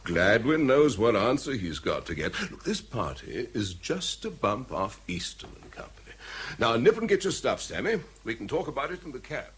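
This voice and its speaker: An actor playing a chummy, cynical English ministry official in a radio drama, jaunty and flippant. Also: male voice, medium pitch, sing-song, moderate pace, fairly bright tone, breathy edge. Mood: amused, cynical. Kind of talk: British radio drama acting.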